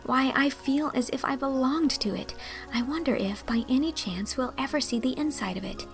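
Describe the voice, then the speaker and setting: A woman voicing a dreamy, romantic young woman in an audiobook drama, earnest and full of breathy wonder. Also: sits high, moderate pace, soft background music, voice pushed up. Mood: wistful.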